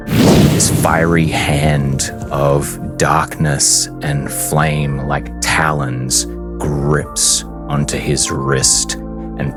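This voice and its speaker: Very deep, slow, dramatic male game master. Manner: deliberate, portentous, with long pauses. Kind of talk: dramatic tabletop game narration.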